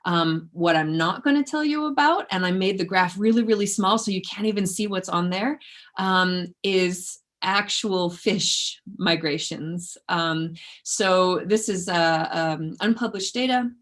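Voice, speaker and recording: A woman with a slightly low voice gives an academic talk as a researcher. She keeps it informal and conversational, with a self-effacing touch. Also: unhurried, a bit bright. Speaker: researcher giving a lecture. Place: indoors in an office, online presentation.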